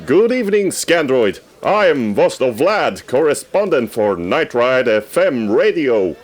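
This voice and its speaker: Man playing an eager, theatrical radio correspondent, swinging pitch. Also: very animated, unhurried. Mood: eager, pleading. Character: eager, easily snubbed male radio correspondent on the red carpet. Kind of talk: scripted comedic red carpet reporting.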